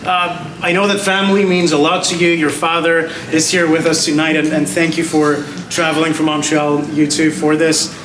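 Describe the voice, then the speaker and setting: A man hosting a gala interview, asking polite, measured questions. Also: a little high, little pitch movement. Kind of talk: formal onstage interview. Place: large gala hall. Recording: echoey hall, heard from far off, noisy.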